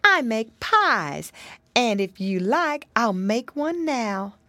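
Woman as pie lady: sweet, fussy, higher, lilting. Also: big pitch swings, slow pace, bright, forceful tone, no room sound, heavily acted. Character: cheerful whistling pie-baking lady.

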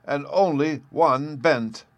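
A kindly, absent-minded old professor, as a male reader puts him on, with a voice lifted a bit above his own. He talks in a gentle, fussy, courteous way. The recording is close and dry. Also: a little high, takes it slowly, no echo, pitched above the performer's own voice. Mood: gleeful.

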